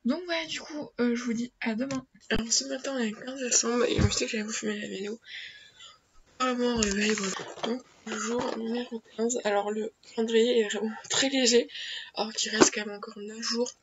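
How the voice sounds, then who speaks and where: Teenage girl, chatty vlog, higher voice, dull lo-fi webcam sound, faint hiss. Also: steady tone, fairly quick. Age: teens. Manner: chatty, many fillers and restarts. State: stuffy nose from a cold. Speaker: beauty vlogger. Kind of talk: casual daily unboxing vlog. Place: small attic bedroom. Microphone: webcam built-in mic.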